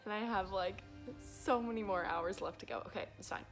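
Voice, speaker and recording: Young female vlogger, casual and self-deprecating, lively delivery, some background music. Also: mid-range voice, brisk. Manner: animated, self-deprecating, swearing. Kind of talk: casual chatty reading vlog.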